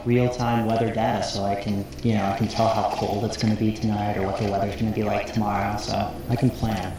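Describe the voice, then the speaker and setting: A man's voice: lowish voice, natural voice. A man playing a weary lone survivalist, talking casually and hesitantly in an understated found-footage horror video log. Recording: echoey, very noisy found-footage audio.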